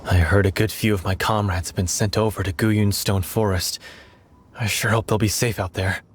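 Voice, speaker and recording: Man acting, worried soldier, slightly deep, video game, clear noise behind. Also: steady tone, normal speed, close to an everyday voice. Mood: concerned. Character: concerned male soldier worried for his comrades.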